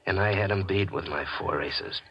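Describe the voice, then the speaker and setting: Bitter american gambler in a 40s radio thriller, deep voice, tense dramatic delivery, dull old audio. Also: male voice, gravelly. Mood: tense suspense. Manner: tense, dramatic, deliberate storytelling. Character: Lester, bitter small-time gambler narrating his own downfall. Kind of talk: 1940s radio drama acting, first-person suspense narration. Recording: muffled old radio recording. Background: dramatic music.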